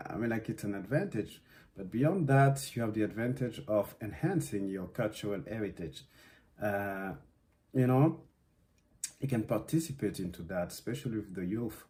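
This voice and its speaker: A young man from West Africa, a native Susu speaker, talking thoughtfully in a conversation about his home, with many pauses and fillers. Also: fairly low pitch, warm tone. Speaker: interviewed artist. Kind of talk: reflective conversation.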